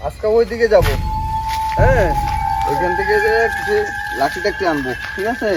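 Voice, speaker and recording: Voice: mid-range voice, animated, normal speed, close to an everyday voice, poor audio. A performer voicing a village uncle in a cartoon, speaking colloquial in an instructing way. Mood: instructing, friendly. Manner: colloquial, instructing, repeats short check-in questions. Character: village uncle, caring elder who leads children to gather sticks. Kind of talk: cartoon voice acting. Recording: loud music under the voice.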